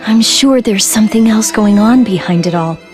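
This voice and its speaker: A woman voicing a caring, older-sister female soldier in an English game dub, with a reassuring, steady manner. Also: medium pitch, moderate pace, faint music behind, lightly acted.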